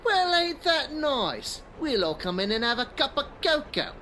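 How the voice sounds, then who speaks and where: A lively, sneering ruffian. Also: female voice, sits high, measured pace, a little hiss or hum, exaggerated voice. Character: sneering rough Victorian street ruffian. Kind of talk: comedy audio drama acting.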